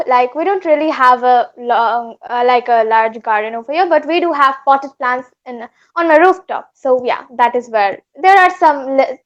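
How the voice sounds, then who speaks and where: A woman's voice: sits high, fairly bright tone, highs cut off. A teenage girl from India, speaking politely and cheerfully as she introduces a video and chats with a tutor. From West Bengal, India. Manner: polite and upbeat, light laughs. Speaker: vlogger and student. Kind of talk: vlog intro and casual chat.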